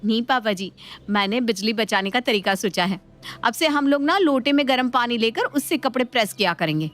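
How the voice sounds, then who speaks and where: A woman voicing a cheeky young daughter-in-law in an animated moral story, explaining things with mock-polite innocence. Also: on the high side, a little fast. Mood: mock-innocent.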